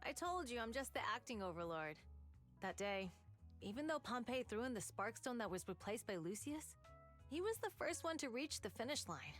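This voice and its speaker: A woman's voice: a little high, very animated, normal speed, fairly bright tone. An actress playing a bold, determined young female biker gang leader in a video game, speaking casually. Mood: frank. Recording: game music underneath.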